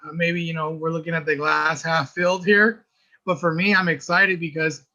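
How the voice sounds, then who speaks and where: A man with a raspy voice who works as an early intervention teacher, talking earnestly in a casual podcast chat and often repeating words as he finds his point. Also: a little high, steady tone, moderate pace, pushed and bright.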